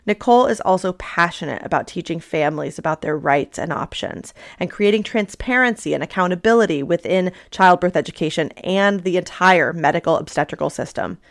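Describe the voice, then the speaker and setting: A woman hosting a podcast, chatty and upbeat, clearing her throat and sniffling a little after an illness. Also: fairly low pitch, animated, fairly quick, few pauses. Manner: upbeat, chatty, self-deprecating. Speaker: podcast host. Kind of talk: podcast interview and intro.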